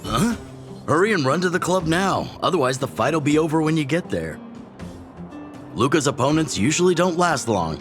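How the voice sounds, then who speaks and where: An actor playing a hearty, teasing middle-aged chief and mentor in a video game. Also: male voice, on the high side, sing-song, moderate pace. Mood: jovial. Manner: jovial, teasing. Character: hearty older male underworld chief and fighting mentor. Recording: faint music behind.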